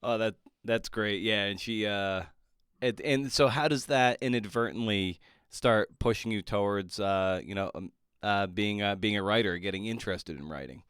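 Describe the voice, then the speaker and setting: A man with a smooth, clear voice hosts a casual interview, recorded close and dry. He talks haltingly, searching for the right words as he goes. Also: fairly low pitch, a bit bright, no echo. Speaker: podcast host.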